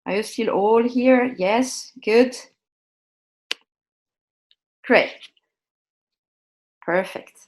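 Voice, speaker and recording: A woman's voice: a little high, extremely slow, pauses often. Hesitant woman teaching a video-call workshop. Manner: hesitant, many ums and restarts, friendly instructional. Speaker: workshop presenter. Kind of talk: live online workshop on a shared screen.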